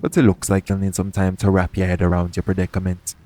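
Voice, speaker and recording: A man playing a shady, smooth-talking pirate captain in an audio roleplay, coaxing and self-assured in a conversational way. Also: sits low, completely flat delivery, quick pace, warm tone, natural voice. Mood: teasing, coaxing. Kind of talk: second-person audio roleplay acting.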